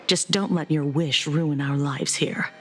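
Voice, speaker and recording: Female game official: poised, probing, dryly teasing, lowish register, reverberant sound. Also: steady tone, soft background music. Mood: coolly teasing. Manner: formal, measured, probing. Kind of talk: video game story dialogue.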